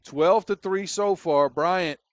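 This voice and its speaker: A man's voice: high voice, slow pace, no echo. A man from the Netherlands with a Dutch accent, giving chatty, wry play-by-play basketball commentary on a close mic. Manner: chatty and wry, with jokes between plays.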